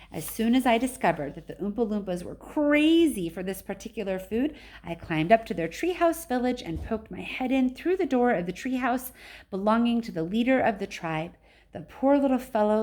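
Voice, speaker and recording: A woman reading as a proud, excitable, eccentric man who owns a chocolate factory, in an emphatic storybook style. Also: medium pitch, close to an everyday voice. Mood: enthusiastic.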